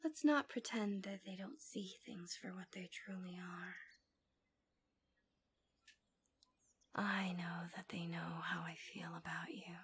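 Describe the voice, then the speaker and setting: A woman playing a smug, possessive female villain in an ASMR fantasy roleplay, speaking softly and coaxingly with insinuating formal wording, often dropping to a whisper. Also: mid-range voice, breathy edge.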